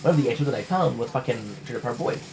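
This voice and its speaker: Guy vlogging, stammers and restarts, swears a lot, normal pitch. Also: animated, very fast, vocal fry, darkish tone, slight background noise. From Montreal, Canada. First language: French. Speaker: channel host. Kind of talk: casual rambling vlog chat.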